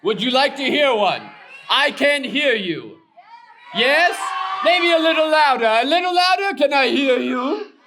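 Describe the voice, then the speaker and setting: A man voicing a pompous donkey puppet who tells stories to children in a deliberate, theatrical manner. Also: very high-pitched, big pitch swings, crowd noise, noisy background, heavily acted. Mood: rousing. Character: grandiose weary old-fashioned gentleman donkey storyteller puppet. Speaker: puppet show storyteller.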